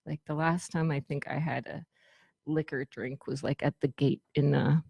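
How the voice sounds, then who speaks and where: A woman's voice: sits low, steady tone, moderate pace, vocal fry, a little mellow, slightly rough, no room sound. An American woman in her fifties chatting casually and a bit ramblingly as an interview guest. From Trenton, New Jersey, United States. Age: 50s. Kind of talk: casual chat.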